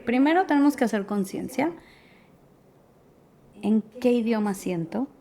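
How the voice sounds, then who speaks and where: A middle-aged woman from Mexico, a guest in a talk show who explains her ideas in a lively, practical way. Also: mid-range voice. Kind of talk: casual conversational interview.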